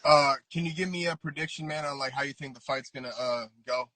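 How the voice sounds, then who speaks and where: A man's voice: fairly high pitch, quick pace, sharp tone, slight background noise, rough recording. A man interviewing a fighter, asking casual questions with many fillers and a polite "yes, sir".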